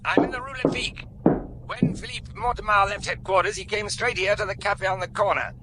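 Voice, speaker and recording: A brisk police detective phoning in a report. His voice is high and very sharp, and he reels off facts quickly. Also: slight background noise.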